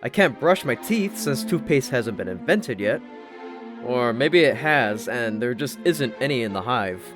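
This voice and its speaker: A man's voice: medium pitch, expressive, unhurried. A man reading a scripted first-person story as an audiobook narrator. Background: background music.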